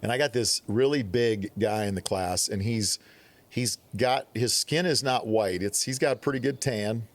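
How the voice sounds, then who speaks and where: A man from the Midwest, telling stories on a podcast in a casual, animated way, acting out quotes. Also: on the low side, moderate pace. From Upper Midwest. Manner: animated storyteller, acts out quotes. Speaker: podcast guest. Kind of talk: casual storytelling.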